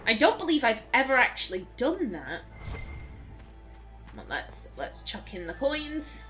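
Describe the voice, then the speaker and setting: A woman's voice: fairly high pitch, big pitch swings, a little slow, bright, forceful tone, faint music behind. Chatty, giggly woman playing a game. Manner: chatty, giggly, self-interrupting. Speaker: let's play host. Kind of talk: casual gameplay commentary.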